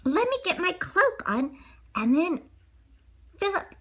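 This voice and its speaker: A woman's voice: very high-pitched, big pitch swings, slow, bright, forceful tone, voice pushed up, exaggerated voice. A woman voicing a devoted young daughter in a storybook, plain-spoken, with a worried urgency.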